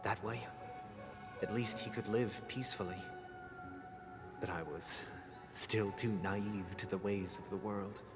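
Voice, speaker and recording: A man playing a grave, polite, melancholy family head in a video game, speaking in measured, formal monologue with rhetorical pauses. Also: on the low side, steady tone, close to an everyday voice. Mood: grave, sorrowful. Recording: long reverb, loud music behind. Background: loud game music.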